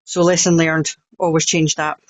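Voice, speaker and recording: A woman's voice: deep voice, little pitch movement, moderate pace, no echo. A woman with a Scottish accent, chatting her way through a step-by-step crafting tutorial with blunt, frank asides. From Scotland.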